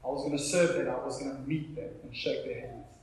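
A man's voice: mid-range voice, steady tone, quick pace, darkish tone. A South African man in his thirties preaching an informal sermon, conversational and emphatic, repeating phrases for effect as he tells personal stories. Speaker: pastor preaching to a congregation. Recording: very echoey room, live sermon.

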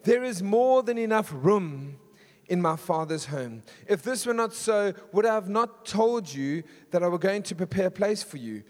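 Male pastor, husky and fairly high, casual asides, punchy emphatic runs; church reverb. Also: lively intonation, moderate pace, a little mellow. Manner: conversational, self-deprecating, builds to emphatic lists. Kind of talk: sermon. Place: church hall. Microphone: handheld stage mic.

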